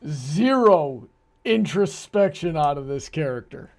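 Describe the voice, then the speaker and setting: A man's voice: on the high side, expressive, slow pace, a little hiss or hum. A man hosting a show with casual, incredulous and exasperated commentary. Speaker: host.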